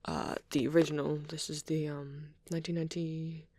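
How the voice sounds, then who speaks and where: A performer voicing a shy, bashful, nerdy young male vampire boyfriend in a soft, hesitant, rambling ASMR roleplay. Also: mid-range voice, a little fast, slight background noise, close to an everyday voice. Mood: shyly enthusiastic rambling. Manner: hesitant, stammering, apologetic, excitable when rambling.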